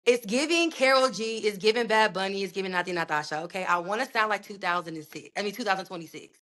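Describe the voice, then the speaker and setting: A young woman recording artist in a casual interview, talking in a slangy, animated way with plenty of swearing. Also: mid-range voice, quick pace. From United States, raised partly in Puerto Rico.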